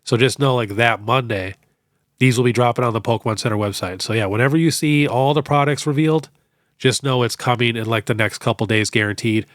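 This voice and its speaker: A young man with a stuffed-up nose from a cold, hosting a casual question-and-answer show in a relaxed, chatty way full of fillers. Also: mid-range voice, a little fast, dry recording, clean studio sound. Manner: relaxed, chatty, many fillers like "you know". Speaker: host. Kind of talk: casual Q&A on card collecting.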